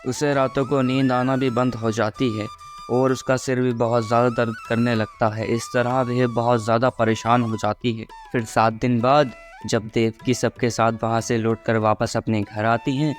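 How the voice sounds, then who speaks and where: A man's voice: medium pitch, flat delivery, moderate pace. A man narrating a scripted moral story. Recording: music behind the voice.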